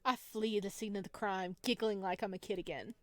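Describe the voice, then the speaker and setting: A woman's voice: mid-range voice, steady tone, fairly quick, no room sound. Playful woman narrating, close and dry. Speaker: gamer reading a visual novel aloud. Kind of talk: expressive first-person story narration.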